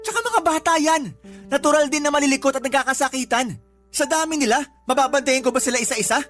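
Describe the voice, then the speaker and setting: Fast-talking, defensive young husband. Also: male voice, extremely high pitch, a bit bright. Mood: sulky, annoyed. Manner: defensive, sulky, sarcastic. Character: defensive, irritable young stay-at-home father. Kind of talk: Tagalog radio drama acting. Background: background music.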